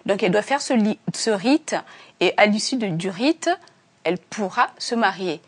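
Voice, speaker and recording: A woman's voice: medium pitch, animated, normal speed, slightly rough. Earnest, hesitant woman author. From Africa. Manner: earnest, many fillers and restarts. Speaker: author, interview guest. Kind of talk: hesitant conversational interview answers.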